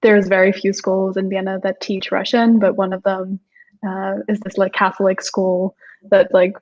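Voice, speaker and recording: Young woman from austria on a video call, kinda flat tone, muffled lo-fi audio, lots of "like". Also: medium pitch, moderate pace. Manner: chatty, many "like" fillers, laughs often. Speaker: startup co-founder, guest. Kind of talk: casual interview chat.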